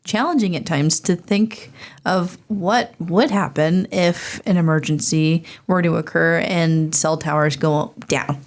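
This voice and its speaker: A woman's voice: fairly low pitch, unhurried. An American woman hosting a podcast in a conversational interviewing style. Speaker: podcast host.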